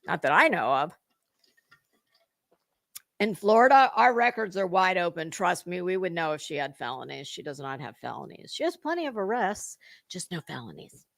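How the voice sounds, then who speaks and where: A woman hosting a gossip commentary show, reading aloud dramatically and commenting with blunt, scornful sarcasm and emphatic, drawn-out words. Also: fairly low pitch, very animated, creaky, no echo, studio quality.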